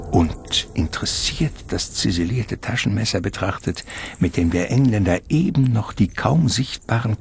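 A man narrating a literary radio drama in a measured, ornate storytelling manner. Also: fairly low pitch, creaky, heavily acted. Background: music.

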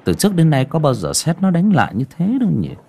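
An idle young village man acted by an audiobook narrator, muttering to himself. He sounds puzzled and easily startled, with pitch that swings about in a storybook manner. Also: huge pitch swings, fast, gravelly, mellow, husky, exaggerated voice.

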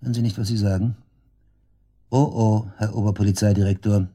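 A man's voice: lowish voice, steady tone, fairly quick, warm tone, husky. An actor playing a brash, sarcastic murder suspect under police questioning in a radio drama, crude and defiant in his slangy talk. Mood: agitated, indignant. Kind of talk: naturalistic German radio drama acting.

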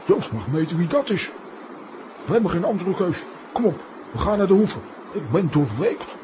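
A grumpy comic adventurer in a children's radio play. He grumbles in a high, lively voice, exasperated, over clear background ambience. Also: moderate pace, background noise. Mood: grumbling. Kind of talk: children's audio drama acting.

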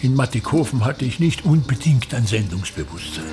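An actor playing a disdainful, self-pitying middle-aged touring theatre man in a radio play, declaiming and complaining grandly with a gravelly voice. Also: mid-range voice, sing-song, moderate pace, over music, close to an everyday voice. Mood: self-important. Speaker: actor playing a travelling playwright-actor. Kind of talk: theatrical radio drama monologue.

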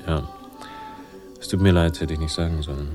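An actor playing a weary, wry sleuth who narrates a 1960s German radio crime drama in a laconic, dryly sardonic way. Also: male voice, rumbling low, steady tone, normal speed, music in the background. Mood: subdued, regretful.

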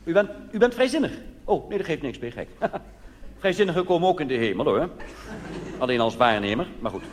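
A man playing an obliging, fussy church helpline clerk in a cabaret sketch, officious and reassuring, pausing as if listening. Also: a little high, very animated, pitched above the performer's own voice. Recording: hissy old live theatre recording, long reverb.

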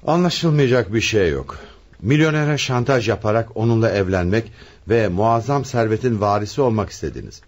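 An actor playing a stern, probing, husky police commissioner with an authoritative manner in a radio play. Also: male voice, lowish voice, lively intonation, normal speed. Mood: firm. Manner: authoritative, interrogating.

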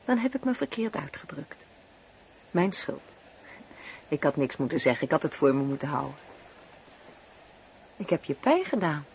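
Middle-aged wife, affectionate, earnest, pleading, radio play, faint background noise. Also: female voice, sits low, big pitch swings, moderate pace, long pauses, a little mellow, rough recording. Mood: earnest, apologetic. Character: affectionate, pleading married woman, anxious to please. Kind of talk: radio drama acting.

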